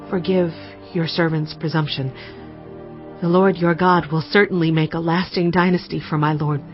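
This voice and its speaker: Woman playing humble wise biblical lady, earnest reverent measured delivery, audio drama w music behind, slightly dull sound. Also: fairly low pitch, natural voice.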